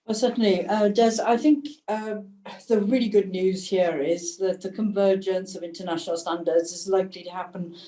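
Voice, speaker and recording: A woman on a webinar panel, speaking in a measured, thoughtful way with pauses and fillers over a video call. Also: medium pitch, dark tone. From Wales. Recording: echoey room, distant mic.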